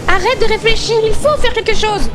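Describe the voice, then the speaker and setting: Woman playing a very high-pitched, indignant young nymph. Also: brisk, over music. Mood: urgent. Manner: indignant, theatrical. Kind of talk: amateur audio drama acting.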